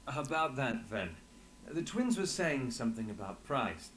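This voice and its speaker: An actor playing a firm, earnest adventurer who leads a party in a video game, direct and familiar in manner. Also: male voice, mid-range voice, very animated, measured pace, a bit bright, slight background noise. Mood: friendly, insistent.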